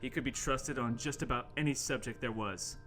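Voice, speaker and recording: A man's voice: mid-range voice, fairly quick, fairly bright tone. A man narrating a horror radio play as its host, in a theatrical, mock-ominous dramatic style. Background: music.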